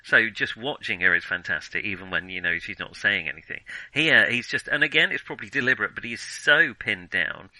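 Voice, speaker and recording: A man's voice: lowish voice, a little fast, hardly any pauses, bright, forceful tone, no room sound, rough recording. A man co-hosting a casual film discussion, giving opinions hesitantly as he thinks them through. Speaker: film podcast co-host.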